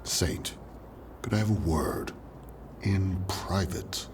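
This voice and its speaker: A man playing a calm, diplomatic, reserved commander in a fan audio drama. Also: low-pitched, slow, a little hiss or hum. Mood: calm, discreet.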